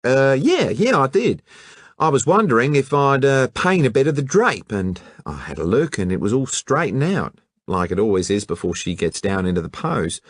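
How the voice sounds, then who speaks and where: A man putting on a brash young Australian art student, pitched well above a normal man's voice and pushed loud and eager. He rattles off broad slang with a lively, bouncing delivery, read by an audiobook narrator on a dry close recording. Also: moderate pace, no echo.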